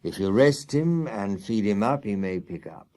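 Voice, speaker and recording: A practical farrier voiced by a male storyteller in a children's tale. He speaks plainly and firmly in a low, mellow voice. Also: expressive, normal speed, close to an everyday voice. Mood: firm advice. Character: practical, matter-of-fact male farrier giving firm advice.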